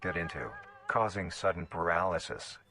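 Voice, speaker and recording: A man's voice: deep voice, normal speed, sharp tone, faint music behind. A man reading scripted documentary-style narration for a horror video in a formal, clinical way.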